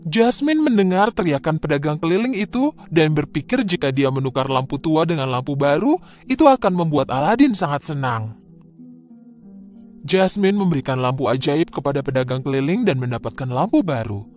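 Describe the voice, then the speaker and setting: A man narrating a scripted fairy tale for children in an expressive, deliberate storytelling manner. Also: fairly high pitch, soft background music.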